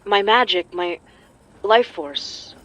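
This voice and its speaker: A woman acting as an anxious but determined young folk magic practitioner in an audio drama, sometimes halting. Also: mid-range voice, animated, a bit bright, poor audio. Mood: focused, serious.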